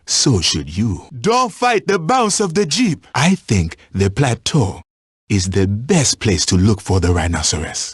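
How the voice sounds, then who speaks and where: A low-voiced, patient safari tracker. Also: male voice, a little mellow, slightly rough, dry recording, clean studio sound, full character voice. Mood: urgent. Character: patient, watchful male African safari tracker guiding a hunter. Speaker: safari tracker guide in a hunting game. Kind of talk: scripted video game voice lines.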